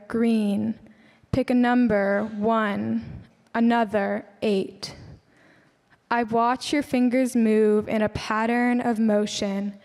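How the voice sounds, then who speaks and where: A woman's voice: fairly high pitch, darkish tone. A young woman giving a poetry reading as a student poet. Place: large hall at a school event. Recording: reverberant hall.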